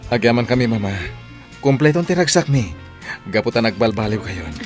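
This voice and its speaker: Casting: man as good-natured newlywed husband, expressive, radio play with soft music bed. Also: mid-range voice, a little fast, lightly acted. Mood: happy, grateful. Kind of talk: Ilocano radio drama acting.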